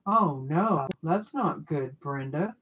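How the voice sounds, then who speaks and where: Slow, rambling crafter thinking aloud. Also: medium pitch, expressive, slightly rough, dull audio. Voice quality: loud voice. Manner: rambling, thinks aloud while working with hands. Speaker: craft livestreamer. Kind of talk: casual crafting livestream, chatting with viewers while working. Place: home craft desk by a computer. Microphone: mic kept off the desk, away from the speaker.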